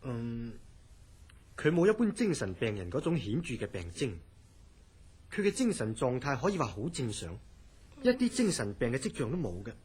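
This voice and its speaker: An actor playing a grave, courteous mental hospital director in a Cantonese horror radio drama, speaking deliberately and probingly in a husky voice with a Hong Kong accent. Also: male voice, medium pitch, big pitch swings, darkish tone. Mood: grave, cautious.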